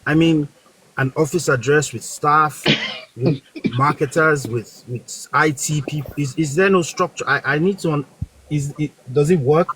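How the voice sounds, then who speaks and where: High-voiced sarcastic man. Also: unhurried, fairly bright tone, slight background noise, rough recording. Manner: sarcastic, mock-questioning, lecturing. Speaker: live stream debater. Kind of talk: heated live audio debate.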